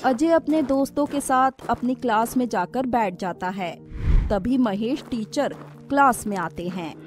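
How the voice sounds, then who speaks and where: High-pitched, unhurried female story narrator. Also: faint music behind. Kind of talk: scripted cartoon story narration.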